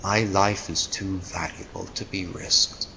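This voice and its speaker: An actor playing a million-year-old immortal Neanderthal villain, theatrical and grandiose, in old-time radio drama style. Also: male voice, low voice, little pitch movement, a little slow, vocal fry, pushed and bright, a little hiss or hum, full character voice, poor audio. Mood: weary, bored. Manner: theatrical, grandiose, menacing declamation.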